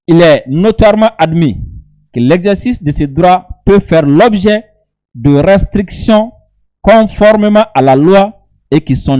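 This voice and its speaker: A man's voice: mid-range voice, dark tone. A man with a West African accent, a native Bambara speaker, reading statements aloud and commenting on them informally in an emphatic, repetitive way. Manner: emphatic, repeats phrases for emphasis. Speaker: online video commentator.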